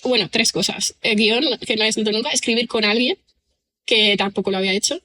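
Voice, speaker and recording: Bright-voiced woman musing with many fillers. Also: a little high, steady tone, quick pace. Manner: thoughtful, rambling with many fillers and restarts. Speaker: writer and screenwriter guest. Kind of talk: casual podcast interview.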